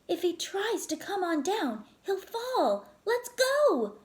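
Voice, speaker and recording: A woman's voice: extremely high pitch, very animated, slow, pitched above the performer's own voice, exaggerated voice. A woman voicing an eager, worried boy rock climber in a children's storybook, exclaiming with urgency. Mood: urgent. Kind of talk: children's storybook read-aloud.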